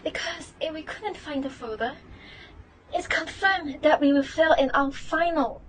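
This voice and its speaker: High-pitched impulsive schoolgirl schemer played by young woman. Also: measured pace, a bit bright, poor audio. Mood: despairing. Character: impulsive, whiny schoolgirl schemer, sure of herself. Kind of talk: amateur reader's theater acting.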